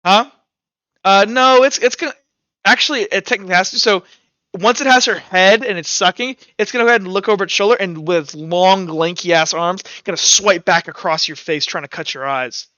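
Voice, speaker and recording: High-voiced man running a tabletop game. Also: a little fast, pushed and bright, dry recording, muffled recording. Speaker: game master. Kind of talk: tabletop roleplay narration and casual rules talk.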